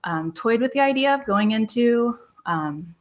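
A woman from southwest Missouri, a program director, speaking in a measured interview. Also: fairly high pitch, animated. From Southwest Missouri, USA. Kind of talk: casual interview.

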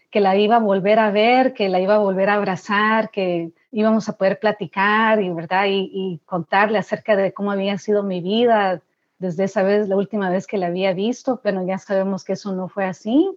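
A woman's voice: medium pitch, steady tone, fairly quick, muffled recording. A woman in her forties from Guatemala giving a reflective, somewhat hesitant personal testimony. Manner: reflective, hesitant, with small restarts. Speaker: interviewee.

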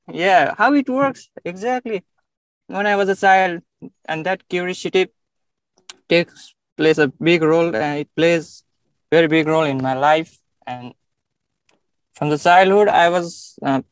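A young man from Nepal, speaking in a casual interview, often pausing and searching for words with many fillers. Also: fairly high pitch, clean tone, no room sound, rough recording.

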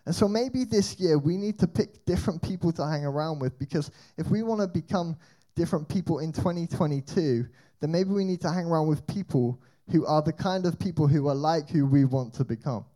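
A young man giving a lively, conversational youth talk, telling stories and asking rhetorical questions. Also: on the high side, a little fast, low-quality recording. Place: church hall with band.

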